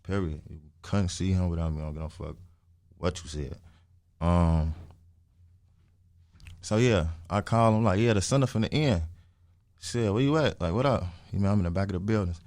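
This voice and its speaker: A man's voice: sits low, normal speed, long pauses, darkish tone, no room sound, studio quality. A man, telling stories casually in heavy slang, emphatic, swearing and repeating phrases. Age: early twenties. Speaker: interview guest, music artist.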